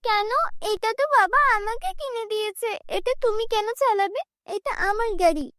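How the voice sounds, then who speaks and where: An innocent, obedient little cartoon girl, put on by a woman. The voice is extremely high and childlike, captured close and dry. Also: normal speed, a bit bright, no room sound. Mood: upset complaining.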